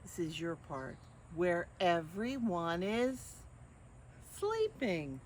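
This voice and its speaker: Older woman storyteller, lively swooping intonation. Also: fairly low pitch, slight background noise. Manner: expressive, drawn-out phrasing with questions to listeners. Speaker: children's storyteller. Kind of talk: reading picture books aloud to young children.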